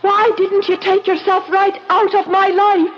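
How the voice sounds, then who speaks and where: On a dull, faintly noisy old radio recording, a torn young wife of noble rank. Her voice is very high and emotional, melodramatic and fearful in the vintage mystery style. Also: flat delivery, measured pace. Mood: anguished, torn. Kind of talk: old-time radio drama acting.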